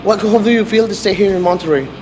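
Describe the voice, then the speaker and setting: A man hosting an interview and asking questions in halting, hesitant English. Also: sits high, noisy background. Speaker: interviewer host.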